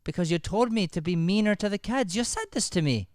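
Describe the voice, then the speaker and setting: A man's voice: high-pitched, expressive, fairly quick, darkish tone, no echo, studio quality. A man playing a flustered, scolding Irish schoolteacher in improvised radio comedy, repeating himself and tripping over his words. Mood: defensive. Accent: Irish, put on. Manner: stammering, repetitive, exasperated. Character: flustered, scolding male schoolteacher, defensive and obsequious.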